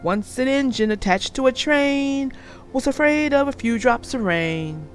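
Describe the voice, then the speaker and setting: A woman voicing a cheeky, boastful young male tank engine in a read-aloud storybook, speaking loudly. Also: sits high, animated, unhurried, soft background music, pitched above the performer's own voice.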